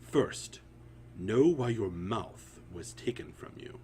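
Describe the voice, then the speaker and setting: A man voicing a sinister, commanding overseer in a fantasy story, lecturing deliberately and with menace. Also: fairly low pitch, lively intonation, a little mellow. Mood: menacing, instructing. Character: sinister, commanding male overseer, illusion-weaving tormentor. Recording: audible background hum and noise.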